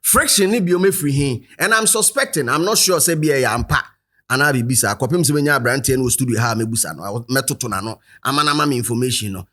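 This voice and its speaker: A man with a Ghanaian accent hosting a commentary show, animated and rhetorical, speaking straight to his viewers. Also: mid-range voice, racing. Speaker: show host. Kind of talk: commentary to camera, mixing Twi and English.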